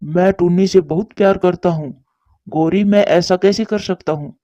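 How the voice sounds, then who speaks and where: Mellow, caring cartoon husband pigeon played by a man. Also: high voice, moderate pace, no room sound, highs cut off, close to an everyday voice. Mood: resigned, firm. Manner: consoling, earnest. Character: troubled, devoted husband pigeon, patient and caring. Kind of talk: Hindi cartoon story dialogue.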